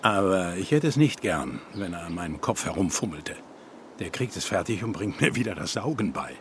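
A man's voice: low-pitched, big pitch swings, normal speed, background noise. An actor playing a blunt, wry old Spanish man in a radio drama.

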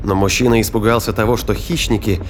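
A man's voice: on the low side, steady tone, fast, a little hiss or hum. A man reading scripted third-person narration as the narrator of an audio drama.

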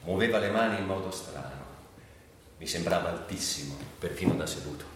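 A man's voice: low-pitched, a little fast, lightly acted. An actor playing a reflective, reverent man in a radio monologue, speaking earnestly in short, emphatic phrases. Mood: reverent, nostalgic. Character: reflective man recalling his admired mentor from childhood. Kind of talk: theatrical radio monologue, reminiscence. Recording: very echoey, distant, faint noise.

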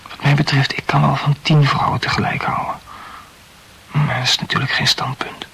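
Casting: wordy, rationalizing lover for radio drama, very husky and breathy, self-absorbed philosopher. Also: male voice, fairly high pitch, level tone, normal speed, bright, forceful tone, in a whisper, heavily acted. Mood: wry. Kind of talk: intimate radio play dialogue.

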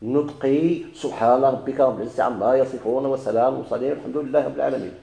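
A man with a Moroccan Arabic accent teaches grammar in a dark, gravelly voice. He moves briskly, repeats key words and quizzes his students. The recording is echoey. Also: medium pitch, steady tone. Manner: explains slowly, repeats and stretches key words, asks students questions.

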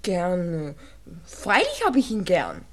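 Saucy, lively young Viennese woman. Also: lowish voice, slow pace, exaggerated voice. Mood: scornful, lecturing. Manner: chatty, teasing, lecturing. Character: saucy, worldly-wise young Viennese milliner, bossy friend. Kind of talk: 1960s German radio drama acting.

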